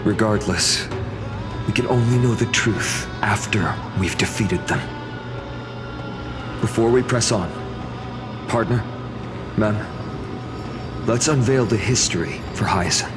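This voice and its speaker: A man playing a calm, courteous and resolute adventurer in a video game. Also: fairly low pitch, slow, long pauses. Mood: calm, determined. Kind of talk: scripted video game dialogue. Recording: music behind the voice.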